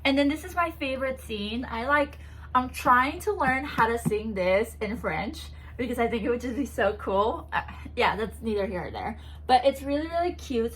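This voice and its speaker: A woman's voice: a little high, poor audio. A woman chatting casually to camera as she unboxes a gift box, bubbly and giggly, seated on a bouncy ball at home. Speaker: vlogger unboxing a swap box.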